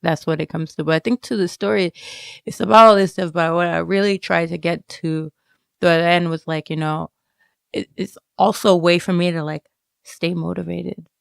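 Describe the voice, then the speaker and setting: A woman giving a reflective personal interview, speaking haltingly and often dropping in "like" and "you know.". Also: lowish voice, fairly even intonation, creaky, clear, resonant tone, no room sound, studio quality. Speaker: interviewed writer.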